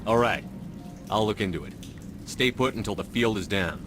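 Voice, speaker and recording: An actor voicing a steady male military commander who speaks in a curt, commanding way. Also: on the low side, moderate pace, natural voice. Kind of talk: video game cutscene. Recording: game music and rain behind.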